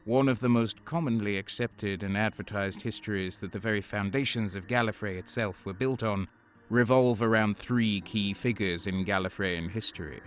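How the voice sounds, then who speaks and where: A man's voice: lowish voice, moderate pace, a little hiss or hum, natural voice. A man playing a solemn, all-knowing transcendent being, narrating an audio drama in a grave, portentous, scornful way. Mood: solemn, disdainful.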